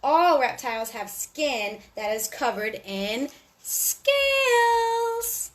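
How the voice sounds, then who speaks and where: Lady doing kids storytime, high voice, reads real slow and sing-songy, chats to the kids a bit. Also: sing-song. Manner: sing-song, deliberate reading with asides to children. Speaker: children's storytime host. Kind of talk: read-aloud storytelling for young children.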